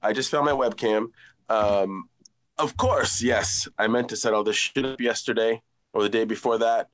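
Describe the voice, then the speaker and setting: A man's voice: medium pitch, moderate pace, a bit bright. A man getting over a head cold, chatting casually in a self-deprecating, joking way. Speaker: guest, audio drama creator. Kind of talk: casual chat.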